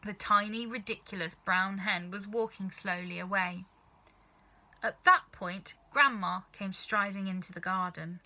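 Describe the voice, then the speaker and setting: A woman reading a children's story aloud as narrator, with expressive scripted narration. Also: medium pitch, a little slow. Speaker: storybook reader.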